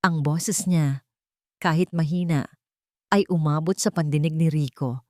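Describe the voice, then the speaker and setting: A woman narrating a drama story in a calm, measured, expressive way. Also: sits low, no echo, studio quality.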